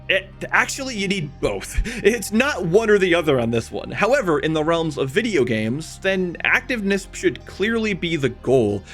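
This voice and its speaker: A man's voice: fairly high pitch, fairly bright tone. A man delivering a scripted video essay about games, with emphatic, opinionated, conversational phrasing and casual asides.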